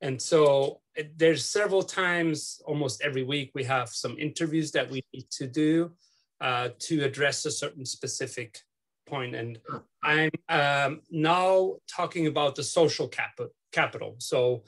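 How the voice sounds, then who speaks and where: A middle-aged man from Switzerland with a Swiss accent, interviewing someone over a video call from his home office, talking haltingly with many fillers and restarts. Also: on the high side, a bit bright. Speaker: student interviewer. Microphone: laptop webcam on video call.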